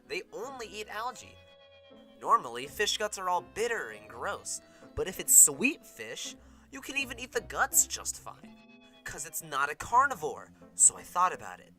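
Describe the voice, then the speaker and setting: A brash, quirky young gangster acted by a woman in an anime dub. The voice is forceful and leaps around in pitch, with casual, emphatic chatter. Faint music plays behind. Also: exaggerated voice. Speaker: voice actor in a fan dub.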